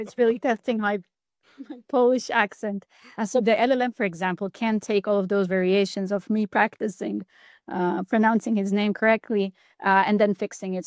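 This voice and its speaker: A woman's voice: mid-range voice, a little mellow, no echo, clean studio sound. A woman in her thirties, a product manager, answering questions in a loose, conversational way on a video call. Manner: conversational, upbeat, loose phrasing. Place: indoors at home, virtual background. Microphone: webcam or laptop mic on a video call.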